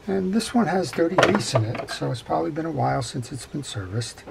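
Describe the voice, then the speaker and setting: A man's voice: fairly high pitch, animated, brisk, vocal fry, heavy background noise. A middle-aged man giving an unscripted hands-on repair tutorial in a methodical, plain-spoken way at an indoor workbench. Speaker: fishing reel repair instructor.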